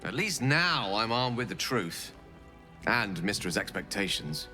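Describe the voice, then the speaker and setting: An eloquent earnest wizard. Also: male voice, fairly low pitch, sing-song, a little slow, sharp tone, faint music behind, heavily acted. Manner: articulate, theatrical, earnest. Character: earnest young wizard, eloquent, self-reproaching. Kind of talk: video game voice acting.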